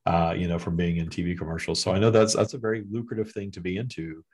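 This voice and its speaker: A man hosting a podcast, talking with a guest in a relaxed, halting way. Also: sits low. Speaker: podcast host. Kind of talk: casual conversation.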